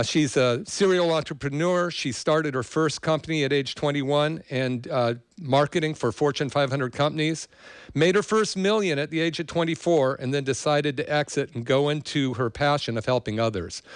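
A man's voice: a little high, clean tone, dry recording. A man hosting a podcast interview, introducing his guest in a loose, rambling way with fillers. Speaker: podcast host.

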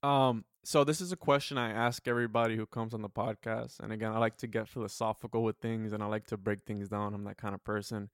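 A man in his twenties hosting a podcast, talking earnestly and philosophically with many halting restarts. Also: lowish voice, level tone, dry recording, studio quality. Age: 20s. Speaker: podcast host. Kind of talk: casual podcast chat.

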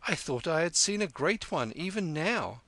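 A man doing a bold, quick-witted street boy. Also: a little high, sing-song, a bit bright, dry recording, pitched above the performer's own voice. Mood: shrewd. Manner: raised, sing-song mimicry of an elder.

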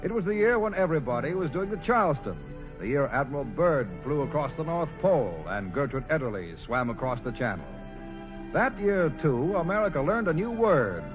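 A man narrating a radio drama in theatrical, scripted 1940s storytelling style. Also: medium pitch. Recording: muffled vintage radio, music behind.

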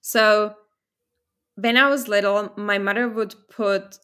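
A woman's voice: mid-range voice, fairly even intonation, slow, bright, forceful tone, clear, resonant tone. A woman in her thirties from the Czech Republic, a guest in a casual interview, telling stories thoughtfully with some restarts. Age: 30s.

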